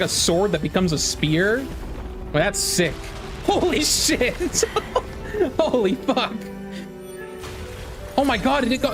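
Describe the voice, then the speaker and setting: A gaming content creator giving casual live reaction commentary, excitable and exclamatory, swearing freely. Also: medium pitch. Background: trailer music behind the voice.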